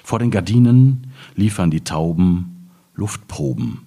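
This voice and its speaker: A man from the Ruhr area in Germany reading his own poems in measured phrases. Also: lowish voice, creaky, dark tone, slightly rough. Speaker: poet reading own work.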